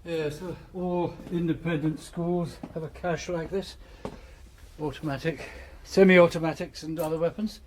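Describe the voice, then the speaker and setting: A man's voice: on the high side, fairly even intonation, unhurried, slight background noise, lightly acted. An actor playing a nervous, polite male school headmaster who talks hesitantly and fussily in a radio crime drama. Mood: nervously helpful.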